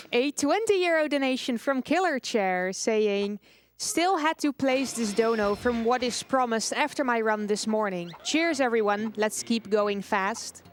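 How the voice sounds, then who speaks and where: A woman's voice: on the high side, expressive, slow pace. A woman hosting a gaming marathon, announcing and reading out donation messages. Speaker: speedrun marathon host.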